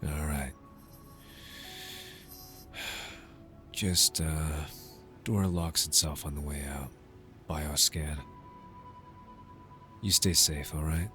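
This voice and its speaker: A man playing a tender, wistful young lover in an intimate cyberpunk ASMR roleplay, hesitant and gently pleading. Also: very deep voice, steady tone, extremely slow, pauses often, soft background music, full character voice. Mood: resigned, affectionate. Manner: soft-hearted, hesitant, intimate.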